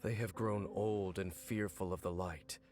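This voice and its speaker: A man voicing a solemn, world-weary knight in a video game, speaking in a measured, brooding way. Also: deep voice, steady tone, a little mellow, faint music behind. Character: grave, world-weary male knight, former royal guard commander. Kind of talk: video game character acting.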